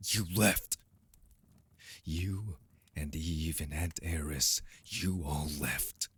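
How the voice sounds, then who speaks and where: A man's voice: very deep voice, drawn-out words, fairly bright tone, breathy edge, no echo, full character voice. A man playing a proud, wounded young vampire hardened into bitterness, with intense, accusing delivery in an audio drama. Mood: anguished, accusing. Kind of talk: dramatic audio roleplay acting.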